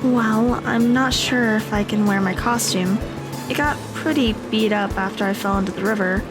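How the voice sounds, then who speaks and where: A woman playing an earnest, apologetic teenage high school girl in an audio drama. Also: moderate pace, loud music behind the voice, close to an everyday voice. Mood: friendly.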